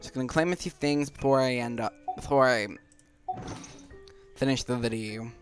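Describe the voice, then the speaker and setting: Casual, halting male gamer, mid-pitched. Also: music in the background. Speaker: gaming video host. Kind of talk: casual gameplay commentary.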